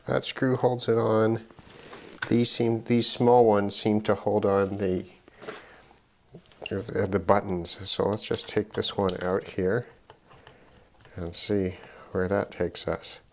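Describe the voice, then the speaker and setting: A middle-aged man giving unscripted commentary on an electronics teardown, halting and musing as he thinks aloud. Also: fairly low pitch, flat delivery, slight background noise. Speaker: electronics hobbyist presenter.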